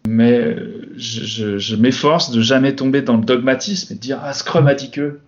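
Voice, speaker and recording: A man talking about his work as a Scrum Master in a relaxed podcast chat, thinking aloud with many pauses and restarts. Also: medium pitch, muffled recording. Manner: reflective, many hesitations and restarts. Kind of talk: casual podcast conversation.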